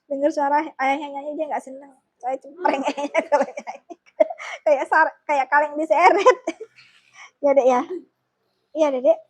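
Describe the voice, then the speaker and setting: A middle-aged woman with a high voice talks casually as a family vlogger. She is informal and giggly, chatting to viewers and those around her. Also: fairly even intonation, unhurried, long pauses, darkish tone. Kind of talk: casual chatty vlog talk.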